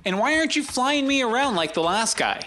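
An actor playing a vain, needy American news anchor who sounds self-important and defensive. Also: male voice, very high voice, sing-song, brisk, pushed and bright, slight background noise, heavily acted.

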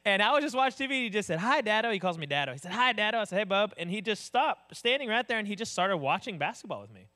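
Booming, fast-talking young male pastor. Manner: animated storyteller, acts out voices. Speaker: associate pastor. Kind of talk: casual sermon with stories.

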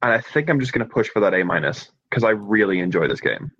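A man's voice: on the low side, animated, fast talker, a bit bright, slightly rough, no room sound. A man co-hosting a podcast, giving casual, opinionated game review commentary over a lo-fi microphone.

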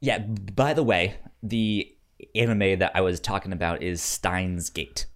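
A man chatting casually about a film as a podcast co-host, with a halting, stammering delivery. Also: low-pitched, steady tone.

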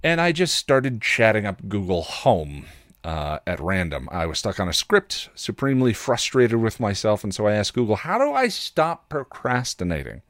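A man hosting a podcast with a candid personal monologue, reflective and halting, with wry, self-deprecating delivery. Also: fairly low pitch, wildly swooping pitch, dry recording, clean studio sound. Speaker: podcast host.

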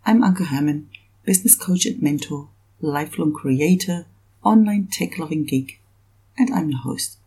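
A woman hosts a podcast with a slightly husky, breathy voice that sits on the low side. She talks in a relaxed, upbeat way and laughs easily with her guest. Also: moderate pace. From not Australian, has lived in Australia. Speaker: podcast host and business coach. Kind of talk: casual interview chat.

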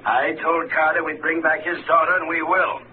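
An actor playing a greedy, impatient detective agency boss who badgers and bosses in 1940s radio drama style. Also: male voice, on the high side, flat delivery, natural voice. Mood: scheming, bossy. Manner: impatient, bossy, fast-talking badgering. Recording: tinny vintage radio recording with faint noise.

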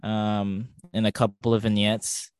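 A man with a fairly low voice co-hosts a casual film podcast. He thinks aloud, hesitating and rephrasing as he goes. The sound is very dry, with no room echo. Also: monotone, a little slow, darkish tone, clear, resonant tone. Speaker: podcast co-host.

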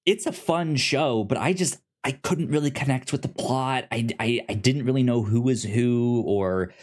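A man's voice: medium pitch, a little mellow. A man chatting casually as a podcast co-host, rambling and often doubling back on his words as he gives his review. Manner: halting, rambling, repeats words.

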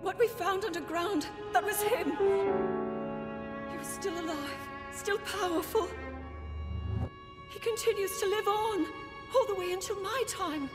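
Earnest young game princess, very high grave voice, long reverb. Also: female voice. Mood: solemn, urgent. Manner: solemn, grave, deliberate delivery. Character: earnest young princess warning her king. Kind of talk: video game cutscene acting. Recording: long reverb, music behind.

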